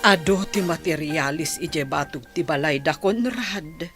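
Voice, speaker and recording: A middle-aged woman with a Filipino accent playing a patient village mother who gives gentle moral advice in a radio drama. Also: sits low, unhurried, music in the background. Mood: concerned.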